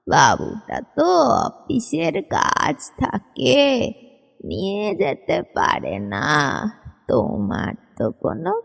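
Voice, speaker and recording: A woman voicing an elderly, frail mother-in-law in a family sketch, slowly coaxing in a plaintive way. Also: medium pitch, wildly swooping pitch, gravelly, slightly rough, exaggerated voice. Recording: reverberant hall-like echo.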